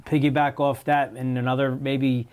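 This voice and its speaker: A man's voice: mid-range voice, level tone, normal speed, darkish tone. An American man, a fire captain, talking casually in an interview in clipped, plain-spoken phrases.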